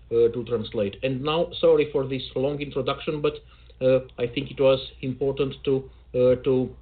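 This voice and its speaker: A man hosting a poetry show over a video call, speaking in a measured, formal way with dry self-mockery. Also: mid-range voice. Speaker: host. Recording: tinny call audio.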